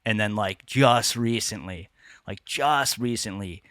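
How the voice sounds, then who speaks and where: A man's voice: on the low side, a little slow, a bit bright, clear, resonant tone, no room sound, clean studio sound. A man co-hosting a podcast in casual chat, rambling with many restarts and curses. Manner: rambling, lots of restarts and swearing. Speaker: podcast co-host.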